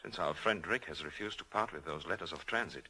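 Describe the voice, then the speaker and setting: An actor playing a brave, gentle husband who speaks in a measured, formal, earnest way in a 1940s radio drama. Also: male voice, very deep voice, slight background noise, heavily acted, low-quality recording. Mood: earnest, resolute. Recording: old 1940s radio broadcast.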